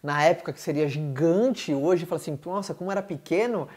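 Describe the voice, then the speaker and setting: A man who hosts a podcast, answering questions in an energetic, emphatic motivational chat with lots of fillers. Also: fairly high pitch, brisk. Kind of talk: casual motivational talk.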